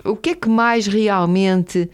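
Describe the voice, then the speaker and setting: A woman's voice: mid-range voice, fairly even intonation, takes it slowly, clear, resonant tone. A woman with a European Portuguese accent interviewing, prompting and clarifying with engaged questions.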